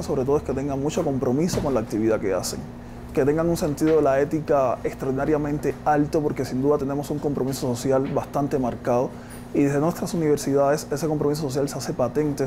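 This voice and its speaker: A man in his thirties with a Cuban accent, a research scientist explaining things in a documentary interview, recorded on a lapel mic. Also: a little high. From Cuba. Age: 30s. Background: background music.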